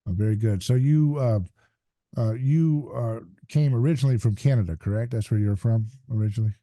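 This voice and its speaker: A man's voice: mid-range voice, brisk, dark tone, dry recording. A man hosting a podcast interview at a studio mic, asking long, rambling questions with fillers. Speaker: podcast host. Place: room with plants behind. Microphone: studio mic on arm. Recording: dry close-mic sound.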